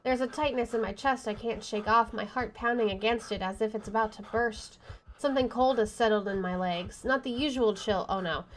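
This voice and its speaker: High-voiced woman narrating a horror game. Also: brisk, a little hiss or hum, low-quality recording. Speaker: gamer reading a visual novel aloud. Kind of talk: dramatic first-person narration of horror game text. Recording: faint game music behind the voice. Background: faint game music.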